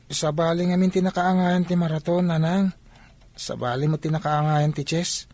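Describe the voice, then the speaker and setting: A man's voice: a little high, fairly even intonation, moderate pace, a little mellow, natural voice. A man with an Ilocano accent playing an eager, humble young athlete in a radio drama. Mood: explaining. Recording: music bed under dialogue.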